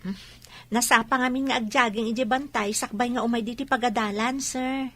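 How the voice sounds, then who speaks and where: A woman's voice: fairly high pitch, fairly even intonation, brisk, fairly bright tone, natural voice. A woman with an Ilocano accent playing a polite, caring young student in a radio drama, faint noise behind. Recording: faint background ambient noise.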